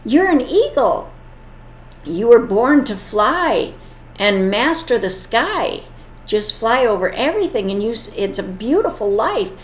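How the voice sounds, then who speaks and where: A woman's voice: a little high, sing-song, measured pace. A woman voicing an earnest male eagle who urges a young bird to fly. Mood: urging. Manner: earnest, urging. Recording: echoey room.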